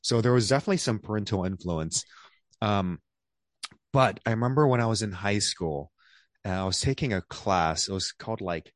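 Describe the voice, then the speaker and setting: A man in his thirties with a very low, deep voice talks in an easygoing, rambling way. He fills his sentences with "um" and "you know" as he answers questions as a guest. His voice sounds close and dry, with no room sound. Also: moderate pace, no room sound. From born in South Korea, raised across the United States. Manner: easygoing, rambling, many fillers. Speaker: guest, physician. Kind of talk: casual interview conversation.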